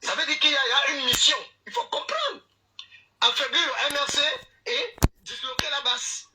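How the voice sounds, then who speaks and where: A man debating politics, animated and teasing, often cutting in on the others. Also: very high voice, normal speed, background noise. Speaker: panelist.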